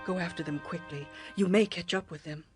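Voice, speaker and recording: A woman's voice: on the low side, a little fast, natural voice. A woman playing an earnest, pleading woman of ancient Jericho in a dramatized scripture reading. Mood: urgent. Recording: music and chirping crickets behind.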